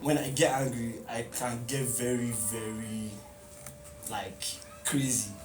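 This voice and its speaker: A man's voice: medium pitch, steady tone, takes it slowly. A young man, speaking candidly and laughing easily about his mother. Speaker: interviewee. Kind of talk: reflective interview answers. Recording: roomy echo, distant mic, music behind.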